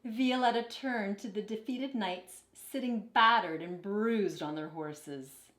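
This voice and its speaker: A woman reading a children's picture book aloud in an expressive, deliberate storytelling manner. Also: mid-range voice. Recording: echoey, roomy sound.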